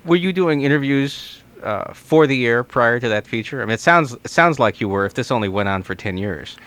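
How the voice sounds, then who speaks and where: A man's voice: mid-range voice, expressive, fairly quick, fairly bright tone. Man hosting a radio interview.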